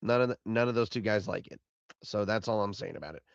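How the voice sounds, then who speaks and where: A man with a raspy voice, hoarse from being sick, hosting a solo postgame recap podcast and rambling and repeating himself. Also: on the low side, fast, dry recording, lo-fi sound. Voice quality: raspy, fading voice. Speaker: podcast host.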